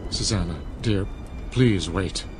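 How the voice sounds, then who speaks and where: An actor playing a troubled, tender older father in a radio drama, speaking gently and hesitantly. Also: low-pitched, takes it slowly, background noise. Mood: gentle, concerned.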